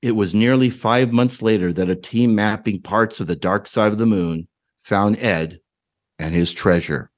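A man's voice: lowish voice, unhurried, lo-fi sound. A man, a podcast host, speaking as a storyteller who reads and talks about his own work. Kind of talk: dramatic story narration and casual chat.

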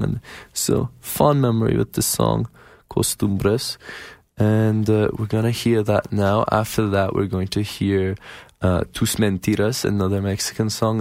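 A man's voice: lowish voice, gravelly, darkish tone, no room sound. A man with a Persian accent hosting a music radio show, chatting casually and rambling with lots of fillers and restarts. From Iran. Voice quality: nasal. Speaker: radio host.

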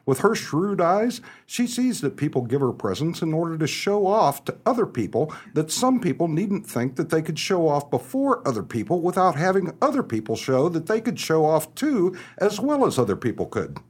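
Man acting a wildly animated, sardonic small-town husband. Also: fairly high pitch, normal speed, few pauses, husky. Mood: wry, grumbling. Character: exasperated, wry small-town husband mocking a socialite. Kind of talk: stagey comedic radio play acting.

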